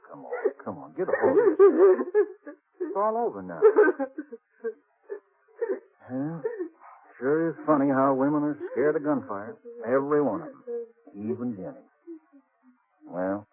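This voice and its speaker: An actor playing a boastful, folksy sheriff in a western radio drama, brash, blustering and dismissive. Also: male voice, medium pitch. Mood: scoffing, patronizing. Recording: tinny, narrow-band vintage radio recording.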